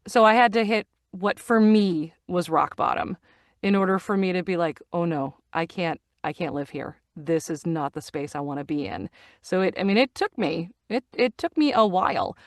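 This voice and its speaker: A woman's voice: fairly low pitch, lively intonation, normal speed, no echo, clean studio sound. A middle-aged woman, a voice actor and narrator, talking earnestly in a casual interview, often restarting and repeating phrases as she searches for words. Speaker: guest, voice actor and audiobook narrator. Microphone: studio microphone.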